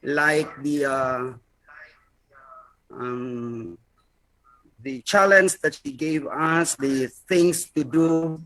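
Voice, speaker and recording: Slow-speaking, higher-pitched Filipino man. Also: fairly bright tone, poor audio. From Philippines. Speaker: teacher giving feedback at an online seminar. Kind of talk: prepared spoken testimonial.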